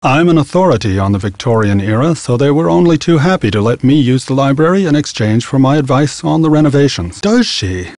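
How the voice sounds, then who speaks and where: An actor playing a pompous, scholarly antique dealer in a video game, formal and theatrical. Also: male voice, mid-range voice, expressive, fairly quick, few pauses, darkish tone, dry recording, studio quality, natural voice. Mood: smug, formal.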